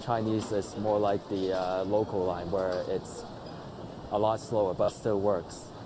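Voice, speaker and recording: A man, speaking English in a thoughtful documentary interview with some hesitant pauses. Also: on the low side, flat delivery, background noise. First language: Cantonese. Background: background music.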